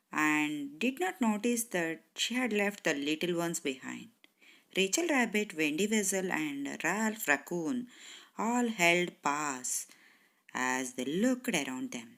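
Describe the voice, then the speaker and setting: Slow-paced woman reading a bedtime story. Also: fairly low pitch, a bit bright. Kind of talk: scripted bedtime story narration for children.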